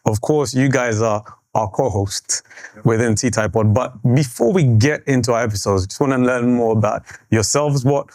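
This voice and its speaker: Male podcast host, conversational and halting. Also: mid-range voice, darkish tone, dry recording. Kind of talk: relaxed conversational podcast hosting.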